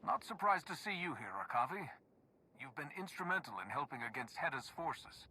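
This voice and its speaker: Casting: earnest game sage hero, forward bright voice, economical and self-possessed. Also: male voice, on the high side, animated, a little fast, a little hiss or hum, rough recording. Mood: composed. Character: calm, resolute male heroic sage in a space saga. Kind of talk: video game cutscene acting.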